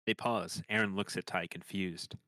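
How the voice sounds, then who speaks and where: A man's voice: low-pitched, steady tone, moderate pace, bright, forceful tone, no echo. A man reading screenplay stage directions as scripted narration.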